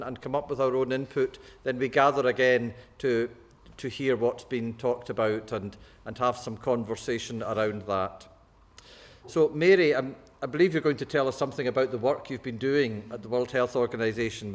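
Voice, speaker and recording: A man moderating a church panel discussion, genial and joking, often restarting his phrases. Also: medium pitch. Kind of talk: hosting a church discussion evening.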